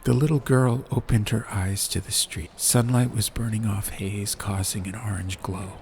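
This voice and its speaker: Low-voiced man narrating, ambient noise behind. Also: fairly even intonation, moderate pace, darkish tone. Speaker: audiobook narrator. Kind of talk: slow atmospheric scripted story narration. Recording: clear background ambient noise.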